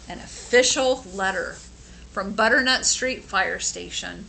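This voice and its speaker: Female storybook reader, bright voice, slow and expressive, sympathetic asides, slight background noise. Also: mid-range voice, creaky, low-quality recording. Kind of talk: expressive children's read-aloud with informal asides.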